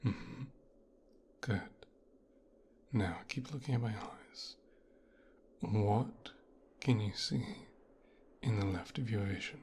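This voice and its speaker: A man playing a mafia boss's son in an ASMR roleplay, softly and slowly examining his captive with gentle, clinical condescension in a breathy, whispery voice. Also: lowish voice, a little hiss or hum. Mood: possessive, gentle.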